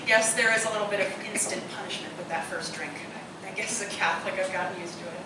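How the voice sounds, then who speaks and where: A woman's voice: mid-range voice, quick pace, natural voice. A woman playing a witty, opinionated single woman who loves bourbon, in live radio-play dialogue. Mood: savoring, explaining. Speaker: stage actor. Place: live theatre performance space. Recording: echoey, noisy live recording from far off.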